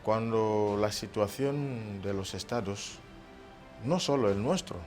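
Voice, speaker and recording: A man from Equatorial Guinea speaking as a guest on a studio panel, giving measured advice and quoting proverbs. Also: fairly low pitch, a little mellow, faint music behind.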